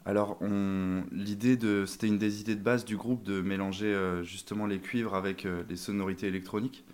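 A man's voice: fairly low pitch, little pitch movement, a little fast, a little mellow. A young man, a band member, talking in an interview with many fillers and restarts. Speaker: band member, sousaphone player. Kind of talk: interview conversation.

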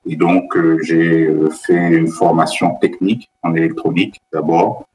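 Deep voiced guy from benin, middle-aged prof, lots of uh and restarts. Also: level tone, unhurried, a little mellow, a little hiss or hum, poor audio. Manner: hesitant, many fillers and restarts. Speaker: guest, business school professor. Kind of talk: interview conversation.

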